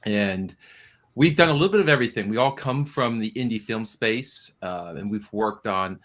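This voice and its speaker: A man's voice: lowish voice, moderate pace, fairly bright tone. A man speaking as an interview guest, explaining his company's work in a conversational, businesslike way.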